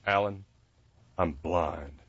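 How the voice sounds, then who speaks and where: A man's voice: low-pitched, slow, fairly bright tone, breathy edge, dry recording, full character voice. A man voicing a blind caretaker who is folksy, patient and kind. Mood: earnest. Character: blind male caretaker, gentle and wry. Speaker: nurse to wounded soldiers. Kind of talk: audio drama acting. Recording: muffled lo-fi recording.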